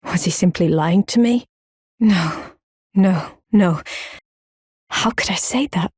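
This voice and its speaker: An actress voicing a proud, earnest warrior woman in a video game, speaking in a solemn, measured, formal old-fashioned way. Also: fairly low pitch, darkish tone, slightly rough, dry recording, studio quality, full character voice. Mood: wounded, troubled. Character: proud, earnest woman warrior, grown, stoic and honour-bound. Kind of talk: video game character acting, formal archaic speech.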